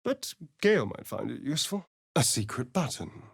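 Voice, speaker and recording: A man's voice: medium pitch, big pitch swings, moderate pace, gravelly, slightly rough, no room sound, clean studio sound, heavily acted. An actor playing a sly, vain, sardonic male vampire rogue in a video game, with an arch, drawling, theatrical delivery. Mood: wry, subdued. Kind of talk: theatrical video game character lines and narration.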